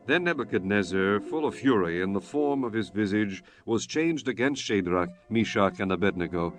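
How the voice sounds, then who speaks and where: Low-voiced solemn male scripture narrator. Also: lively intonation. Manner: measured, solemn, formal. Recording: music bed behind the voice.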